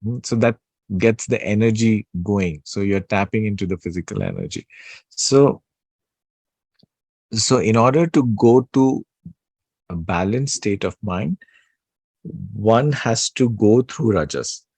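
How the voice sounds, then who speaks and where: Male spiritual teacher, deep soothing voice, dry close sound, halting delivery. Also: a little mellow, breathy edge, dry recording. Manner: slow, pausing, many fillers and restarts. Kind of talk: unscripted spiritual talk.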